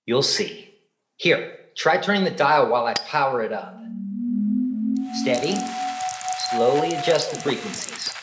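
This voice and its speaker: An actor in a radio play playing a purposeful government agent running a secret experiment. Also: male voice, medium pitch, expressive, a little slow, pauses often, loud music behind the voice, close to an everyday voice. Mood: urgent. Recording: echoey room.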